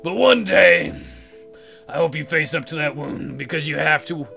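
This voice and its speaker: A man's voice: a little high, expressive, moderate pace, gravelly, bright, forceful tone. A man reading visual novel lines aloud as a let's player, dropping joking, teasing asides. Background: game music.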